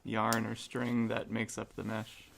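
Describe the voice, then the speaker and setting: A woman with a very deep voice and heavy vocal fry talks casually as a player in a tabletop game. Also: completely flat delivery, measured pace, slight background noise.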